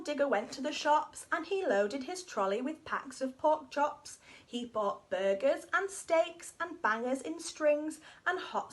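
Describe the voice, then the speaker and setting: A woman reading a rhyming children's story aloud in an expressive, sing-song way. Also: high voice.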